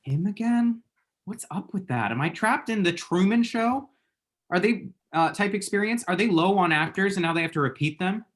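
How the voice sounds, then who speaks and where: A man's voice: sits high, lively intonation, fairly bright tone. Man acting a flustered, self-deluding lovesick guy. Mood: exasperated. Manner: halting, awkward, self-mocking. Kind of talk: table read of a comedy screenplay.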